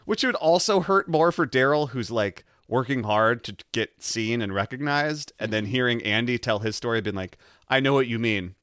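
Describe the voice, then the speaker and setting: A man co-hosting a podcast in casual chat, explaining things in a halting way and often interrupting himself. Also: mid-range voice, fairly bright tone, smooth, dry recording, clean studio sound. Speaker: podcast co-host. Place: close mic in a dry room, recorded over video chat.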